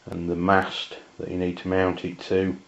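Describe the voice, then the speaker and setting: A man with an English accent and a very low voice talks through a piece of kit as a casual reviewer. His delivery is flat, halting and matter-of-fact. The sound is dull and lo-fi. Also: creaky. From England. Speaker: amateur radio reviewer. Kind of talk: casual hands-on product review.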